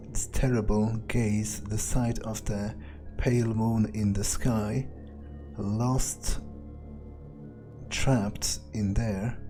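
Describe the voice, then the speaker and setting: A man plays a cynical, impatient male vampire in a video game. He mutters and swears as he thinks aloud, unhurried and mellow in tone, with soft music underneath. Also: fairly low pitch. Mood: brooding, reading aloud. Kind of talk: in-character video game playthrough monologue.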